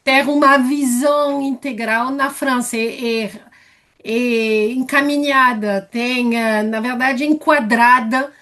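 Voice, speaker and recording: A woman with a French accent, speaking in a high voice and at an unhurried pace. She searches for her words as she explains her work as a nutritionist. She talks in the plain manner of a live question-and-answer session. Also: fairly even intonation, a bit bright. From France. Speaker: nutritionist and student asking a question. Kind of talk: live online Q&A.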